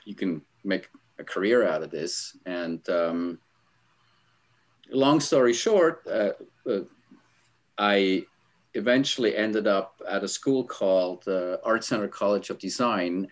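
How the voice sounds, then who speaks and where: A middle-aged man from Switzerland, a guest on a podcast, telling stories in a rambling way with lots of fillers and small laughs. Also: fairly low pitch. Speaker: guest, album art director. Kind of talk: casual interview storytelling.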